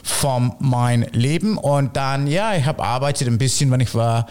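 A man's voice: mid-range voice, normal speed, a little mellow, smooth. A man from England with a British accent, speaking German as a second language with many fillers in a casual chat about his shop. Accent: British English speaking German as a second language. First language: English. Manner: broken German, many fillers, laughs often. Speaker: shop owner guest.

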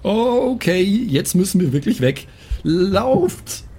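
A man as an animated, stammering adventurer. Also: high voice, slow, husky, heavily acted. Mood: urgent. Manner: stammering, insistent. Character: urgent, stammering adventurer leading friends to safety.

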